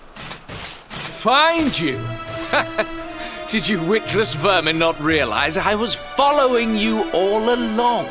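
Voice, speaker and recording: Over loud music comes a pompous, sneering villain. His delivery is slow and hugely theatrical, swooping widely in pitch. He is the haughty servant of a dark master in a video game. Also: big pitch swings, full character voice. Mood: mocking triumph.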